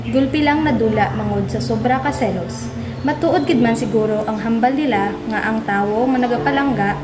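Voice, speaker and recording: A woman's voice: fairly high pitch, close to an everyday voice. A woman with a Western Visayan Filipino accent narrating a radio drama as a wounded but resilient mother. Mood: sorrowful. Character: wounded, resilient mother telling her own story. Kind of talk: scripted first-person radio drama narration. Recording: echoey room, loud music bed.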